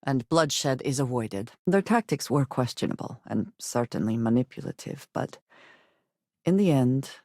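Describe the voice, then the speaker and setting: A guarded, devoted spacefaring woman from a video game. She speaks formally and carefully, with precise, measured diction and an earnest seriousness. The recording is dry and close. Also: rumbling low, lively intonation, a little mellow, no echo, exaggerated voice. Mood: reserved, assessing. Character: guarded, earnest spacefaring woman, devout and fiercely loyal companion. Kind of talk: video game companion voice lines.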